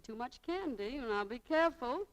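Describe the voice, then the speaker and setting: A woman's voice: a little high, expressive, a little fast, clear, resonant tone, low-quality recording. A woman playing a chatty, spirited young saleswoman in a 1940s radio drama. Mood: eager, persuasive. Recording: old 1940s radio broadcast.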